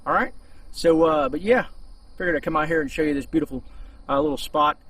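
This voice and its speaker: Male reviewer, informal and rambling, slightly high voice, recorded outdoors with faint background noise. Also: fairly quick, a bit bright, distant mic. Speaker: host of a gear review channel. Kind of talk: casual unscripted product review to camera. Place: outdoors in a shaded spot.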